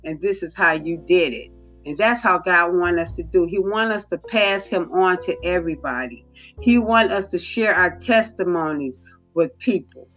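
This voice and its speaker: A woman hosting a devotional podcast, giving an informal sermon in an earnest, exhorting way, repeating phrases for emphasis, her voice slightly hoarse. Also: on the low side, normal speed, fairly bright tone, soft background music.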